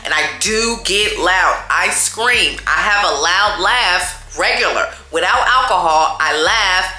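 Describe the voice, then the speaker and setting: A loud, chatty woman tells a story straight to her viewers. Her voice is bright and forceful, and it sounds roomy and echoey. Faint music plays under her. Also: mid-range voice, slow. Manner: chatty, animated, addresses viewers as y'all. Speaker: storytime vlogger.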